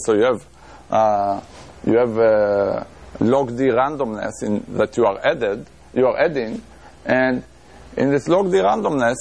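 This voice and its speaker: Gravelly lecturer with an Israeli accent. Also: male voice, medium pitch, darkish tone. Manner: thinking aloud with frequent restarts and fillers, occasional chuckles. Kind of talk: academic lecture on math. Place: lecture room.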